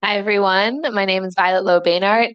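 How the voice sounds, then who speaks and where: A young woman from New York City introducing herself on a panel in a brisk way. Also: mid-range voice, fairly even intonation, clear, resonant tone, no room sound, muffled recording. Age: 20s.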